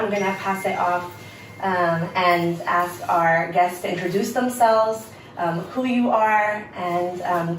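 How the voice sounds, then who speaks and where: A woman hosts a community interview in a casual, folksy way, with a slightly low voice. She sounds set back from the microphone in an echoey room. The talk is informal and conversational. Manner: informal, folksy y'all address. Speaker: host and interviewer. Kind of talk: casual spoken introduction and interview. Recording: roomy, distant mic with faint noise.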